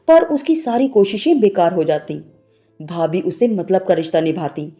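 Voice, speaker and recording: A woman's voice: mid-range voice, dark tone. A woman narrating a scripted, sentimental moral story as a storyteller. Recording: music bed behind the voice. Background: background music.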